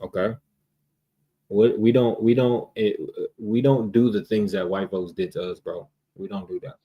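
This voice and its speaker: An American man debating casually in street slang, confrontational, often repeating words and clearing his throat. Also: fairly low pitch, fairly even intonation, normal speed, highs cut off. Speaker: debater on a religious livestream.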